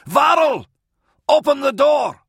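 A man's voice: fairly high pitch, a little fast, vocal fry, bright, forceful tone, slightly rough, no echo, clean studio sound, pitched above the performer's own voice, heavily acted. A man voicing an embattled, short-tempered army officer in an audiobook, barking urgently. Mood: desperate yelling.

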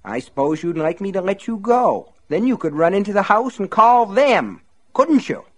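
A man reading an audiobook, voicing a brutal, bullying husband who snarls, sneers and shouts orders in a raised voice. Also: a little high, sing-song, normal speed, voice pushed up. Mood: sneering. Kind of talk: audiobook narration.

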